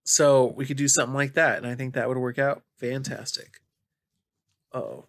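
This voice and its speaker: A man with a nasal voice of medium pitch talks as a podcast host. The delivery is casual and conversational, and the sound is close and dry. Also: steady tone, normal speed, vocal fry, no room sound. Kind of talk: casual video game podcast chat.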